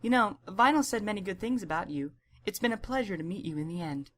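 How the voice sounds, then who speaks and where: A woman's voice: mid-range voice, expressive, fast talker, natural voice. A woman voicing a polite, kindly female pony receptionist in an audiobook, with a friendly manner. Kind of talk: audiobook character acting.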